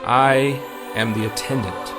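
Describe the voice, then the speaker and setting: A male actor playing a formal, deadpan otherworldly gas-station attendant who guides dead travelers, in a comic audio drama. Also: medium pitch, unhurried, lightly acted. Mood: welcoming, formal. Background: music.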